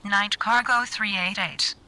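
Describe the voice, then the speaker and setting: A businesslike female cargo pilot voiced by a woman in a flight game, with a very bright, forceful voice. She gives clipped, formal radio readbacks at a middle pitch. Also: a little slow, rough recording. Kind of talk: scripted radio phraseology.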